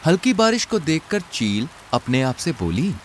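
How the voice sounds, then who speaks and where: A man's voice: fairly high pitch, sing-song, a little slow, a little hiss or hum. A man narrating a scripted children's story.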